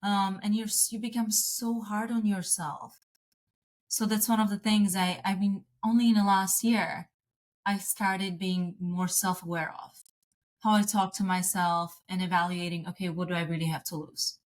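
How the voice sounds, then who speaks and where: A woman's voice: mid-range voice, steady tone, normal speed. A woman, talking casually as an interview guest, restarting sentences and laughing often. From Slovakia.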